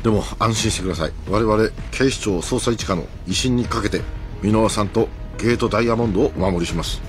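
A man playing a gruff, earnest police inspector in a comic radio drama, speaking in clipped, solemn commands. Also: fairly low pitch, quick pace, faint music behind, natural voice. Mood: stern.

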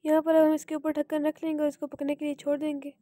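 A woman's voice: high voice, completely flat delivery, quick pace, dark tone, dry recording, clean studio sound. A woman hosting a cooking channel, narrating a recipe step by step in a plain, repetitive instructional voice-over.

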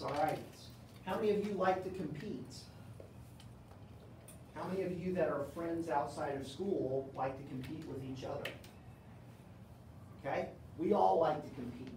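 A man's voice: fairly high pitch, darkish tone. A man in his thirties teaching a class in a conversational way, asking his students many questions. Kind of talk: classroom lecture. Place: classroom with a large screen. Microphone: far camera. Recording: echoey classroom from far off, noisy.

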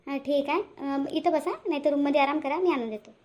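A woman's voice: high-pitched, steady tone, a little fast, a little mellow, natural voice. A woman voicing a caring, dutiful sister-in-law in a household cartoon, coaxing and advising in Varhadi-accented Marathi. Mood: reassuring. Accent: Varhadi Marathi, Vidarbha. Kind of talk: amateur cartoon voice-over.

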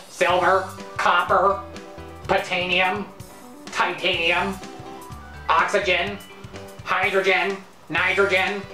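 Someone putting on an eager nerdy guy, boastful, bright voice, roomy echo, homemade story. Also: medium pitch, distant mic, pitched above the performer's own voice, heavily acted. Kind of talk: amateur fan-fiction story reading.

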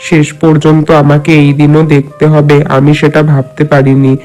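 A man playing a gentle, poor village husband in a cartoon, with an earnest, sorrowful manner. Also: on the high side, little pitch movement, moderate pace, faint music behind, natural voice. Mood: dejected, ashamed. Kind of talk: Bengali moral-tale cartoon dubbing.

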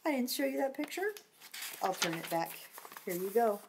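A woman's voice: a little high, very animated, normal speed, background noise. A middle-aged woman reading a storybook expressively to children, with simple chatty asides and questions. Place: living room at home.

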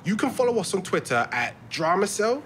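An eager young London guy in an audio drama, with a fairly high voice that moves up and down animatedly. He is earnest and overenthusiastic and trips over his own pitch. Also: big pitch swings, fairly quick, fairly bright tone. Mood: earnest, pleading. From London, England. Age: young adult. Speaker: actor in a fiction podcast. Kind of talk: naturalistic audio drama dialogue. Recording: faint ambient background noise.

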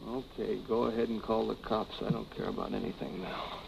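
An actor playing a lovesick, slow-witted young prizefighter with slangy tough-guy talk in a 1940s film. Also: fairly low pitch, steady tone, vocal fry, a little mellow, lo-fi sound. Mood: defensive. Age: young adult. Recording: noisy vintage film soundtrack.

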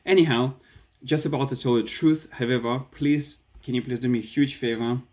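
A man's voice: medium pitch, normal speed. A man in his thirties with a Ukrainian accent, talking to a phone camera in a small room and gesturing as he speaks. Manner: animated, gestures with his hands while talking. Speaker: vlogger. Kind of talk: casual talk to camera. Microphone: phone camera at arm's length.

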